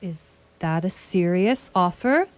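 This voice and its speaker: A woman playing a haughty, excitable, brilliant detective in an imperious, theatrical way for a live radio comedy. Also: on the low side, slow. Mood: reluctant.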